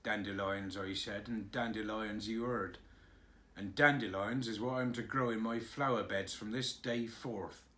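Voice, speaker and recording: A gruff, stolid gardener, as a man reads him in a storybook, his voice dropped low and quiet. He says each word slowly and flatly, in a stubborn growl. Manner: slow, flat and growling.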